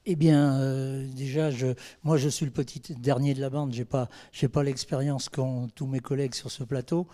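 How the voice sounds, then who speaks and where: A man speaking off the cuff as a mayor on a panel, haltingly, often repeating words as he searches for the next. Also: mid-range voice, flat delivery, warm tone. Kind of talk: spontaneous panel answer.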